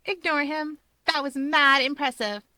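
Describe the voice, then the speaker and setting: A woman's voice: high-pitched, measured pace, pushed and bright, dry recording, voice pushed up. A woman voicing a cheeky, dramatic young mouse woman, playful, wordy and teasing, in a story reading.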